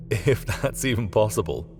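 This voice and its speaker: A man's voice: on the low side, animated, unhurried, darkish tone, in a large hall, soft background music. A man voicing a laid-back, grumbling, distracted young technician in an audiobook, speaking in a casual, muttering way. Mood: amused, casual.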